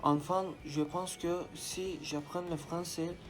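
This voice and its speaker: A man's voice: fairly high pitch, steady tone, slow pace. A young man, a native Turkish speaker, steadily reciting a prepared answer as a language student. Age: young adult. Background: soft background music.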